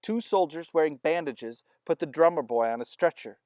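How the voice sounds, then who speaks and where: A storyteller reading a children's chapter book aloud, with expressive narration. The recording is close and dry, with no room around the voice. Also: mid-range voice, normal speed, dry recording. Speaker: storybook reader.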